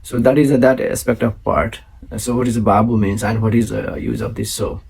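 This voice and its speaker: Gravelly-voiced man hosting. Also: lowish voice, little pitch movement, darkish tone. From South Asia. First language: Maithili. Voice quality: gravelly, husky. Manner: halting, many fillers and restarts. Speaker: host. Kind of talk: solo show introduction.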